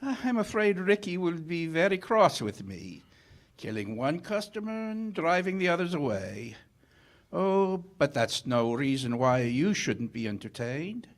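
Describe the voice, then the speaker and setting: Man playing a suave, corrupt captain, lively swooping voice. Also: fairly high pitch, measured pace. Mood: charming. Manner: unctuous, wry, theatrically courteous. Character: suave, corrupt middle-aged police captain, smoothly charming. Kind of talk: 1940s radio theater acting. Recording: live stage show with music behind.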